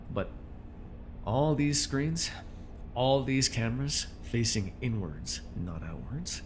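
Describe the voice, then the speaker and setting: A man's voice: on the low side, background noise. A man playing a smug, menacing male supervillain in an ASMR roleplay, taunting and commanding the listener with condescension.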